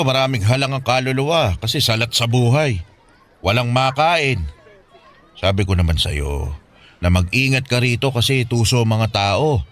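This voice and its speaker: A man's voice: mid-range voice, fairly bright tone, close to an everyday voice. Man acting a fatherly older Filipino guardian. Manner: fatherly, admonishing, colloquial. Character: kindly, preachy older bachelor slum-dweller, fatherly guardian. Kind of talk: Filipino radio drama acting.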